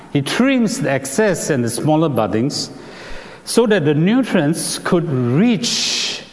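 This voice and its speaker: Slow-speaking Singaporean preacher in a hall. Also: male voice, fairly high pitch. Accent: Singaporean English. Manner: measured, explanatory, with "uh" fillers. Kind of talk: sermon.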